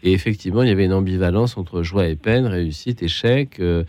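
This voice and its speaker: A man hosts a late-night call-in show from a dry, close studio. His voice is very low, and he listens patiently, offering soft affirmations and gentle prompts. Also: fairly quick, no room sound. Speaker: radio host. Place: radio studio.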